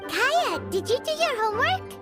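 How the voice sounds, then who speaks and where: A woman's voice: sing-song, normal speed, loud music behind the voice. A woman voicing a tiny, childlike, cheeky fairy companion in a video game, perky and blunt. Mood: perky, curious. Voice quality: squeaky, childlike.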